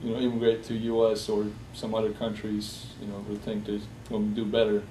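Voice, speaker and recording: A young man from Bosnia in a personal interview, speaking haltingly with many fillers and restarts. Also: fairly low pitch, monotone, dark tone. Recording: roomy echo, distant from the mic, faint hiss.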